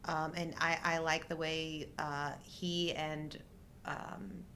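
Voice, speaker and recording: A middle-aged woman speaks conversationally as co-host of a live show. Her voice is somewhat low for a woman. A light layer of ambient noise sits behind her. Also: little pitch movement, takes it slowly, sharp tone. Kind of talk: casual chat.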